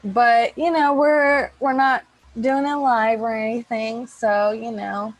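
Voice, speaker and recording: Slow, high-voiced woman in casual chat. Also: level tone, no room sound, rough recording. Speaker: podcast host and organiser. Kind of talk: casual chat before a recording. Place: in a room at home. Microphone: small personal microphone on a group call.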